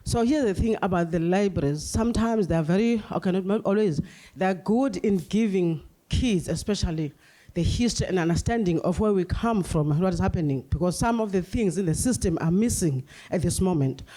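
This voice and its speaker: A woman's voice: fairly low pitch, brisk, warm tone. A woman with a South African accent putting an unscripted question from the audience, in a hesitant, roundabout way. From Durban, South Africa. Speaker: audience member asking a question.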